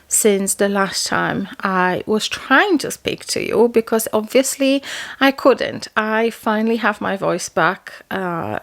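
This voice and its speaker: A middle-aged woman, chatting to camera as a book vlogger in a rambling way with many restarts. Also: mid-range voice. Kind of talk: casual chat to camera.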